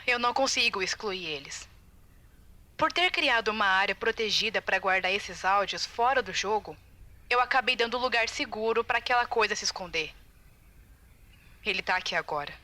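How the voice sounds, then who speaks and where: A woman's voice: mid-range voice, expressive, moderate pace, bright, forceful tone. A woman with a Brazilian accent playing an anxious, determined game tester who leaves secret warning tapes in a confiding, urgent way, in a video game fan dub. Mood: uneasy, resolved. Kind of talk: recorded audio-log monologue, video game fan dub.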